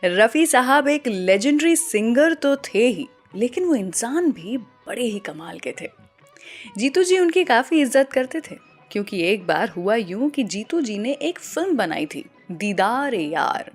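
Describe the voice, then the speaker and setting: A woman hosting a radio show, telling scripted anecdotes between songs in an animated storytelling way. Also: fairly high pitch, a little slow. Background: music behind the voice.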